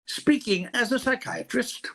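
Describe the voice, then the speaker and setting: A woman playing a dry, sarcastic psychiatrist with a deadpan manner in 1940s-style radio comedy. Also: on the high side, unhurried, creaky, exaggerated voice. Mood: gravely deadpan. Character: dry, sardonic female psychiatrist, exasperated and wordy.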